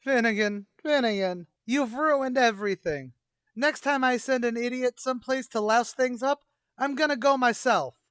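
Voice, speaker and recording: A man's voice: extremely high pitch, normal speed, fairly bright tone, smooth, no room sound. A man playing a scheming, wisecracking tavern manager in an old-time radio comedy, talking in streetwise slang. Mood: exasperated.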